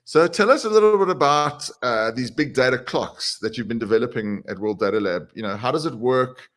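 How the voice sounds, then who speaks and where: A man hosting an interview podcast, talking in a relaxed, conversational way with frequent "uh" and "you know" fillers. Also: mid-range voice, a little fast. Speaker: podcast host.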